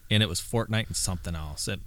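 Low-voiced man chatting casually. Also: quick pace, a bit bright. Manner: rambling, full of fillers. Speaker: podcast co-host. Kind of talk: casual chat.

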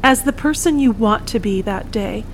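An actress playing an aging bohemian painter, wry, blunt and regretful, in an audio play. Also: female voice, a little high, normal speed, slight background noise, lightly acted. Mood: warm banter. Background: bus or vehicle ambience.

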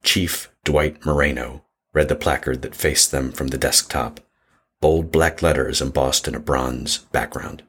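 A man narrates a scripted horror tale. His voice is exceptionally low, with a husky, rough grain throughout. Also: fairly even intonation, measured pace, fairly bright tone.

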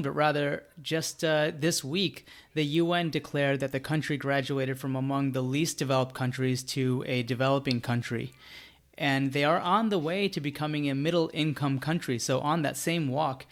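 A man's voice: fairly high pitch, fairly even intonation, moderate pace. A man with an American accent hosting an interview in a conversational style. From United States. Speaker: interview host.

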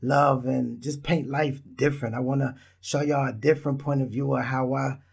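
Brief: thirties man from Israel, easygoing solo podcast host, rambles casually, middle pitch. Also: fairly even intonation, moderate pace, gravelly, darkish tone, breathy edge. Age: 30s. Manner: laid-back, rambling, talks straight to listeners.

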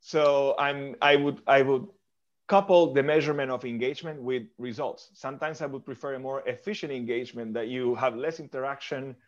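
A man from Argentina speaks as a podcast guest at a middle pitch. He answers thoughtfully and often restarts or repeats words as he goes. His audio is muffled and lo-fi. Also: fairly even intonation, brisk. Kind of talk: interview answers.

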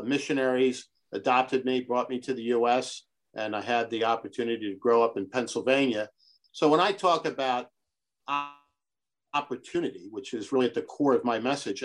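Middle-aged man from Brazil, a podcast guest, earnest and measured, mid-pitched voice. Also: poor audio. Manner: earnest, measured, speaks of his convictions. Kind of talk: conversational interview.

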